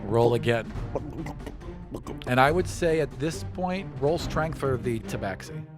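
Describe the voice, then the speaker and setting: A man running a tabletop game as game master, narrating in a brisk, matter-of-fact way. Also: medium pitch, expressive, music in the background.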